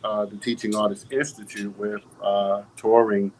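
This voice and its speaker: A man acts as host on a video call. His voice is fairly low and stays very even in pitch. He speaks off the cuff in measured, halting phrases. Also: slight background noise, poor audio. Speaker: host, moderator. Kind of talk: unscripted introduction on a video call.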